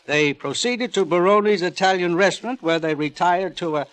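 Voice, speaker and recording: An actor playing a cold, vindictive husband with a sardonic, deliberate, theatrical delivery in a 1950s radio drama. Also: male voice, high-pitched, fairly bright tone. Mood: gloating.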